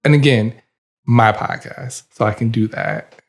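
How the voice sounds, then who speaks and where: A man hosting his own podcast in loose conversation. He argues his points with emphatic punch and tends to run long, his voice sitting at an ordinary mid pitch for a man. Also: measured pace, gravelly. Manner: opinionated and emphatic, admits he is long-winded. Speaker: podcast host. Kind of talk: casual podcast chat.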